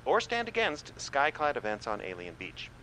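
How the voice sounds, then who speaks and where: Flustered, bright-voiced radio station manager. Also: male voice, mid-range voice, huge pitch swings, moderate pace, a little hiss or hum, rough recording. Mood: officious, exasperated. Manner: fussy, stammering, officious. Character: flustered, exasperated male small-town radio station manager. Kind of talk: comedic radio play acting.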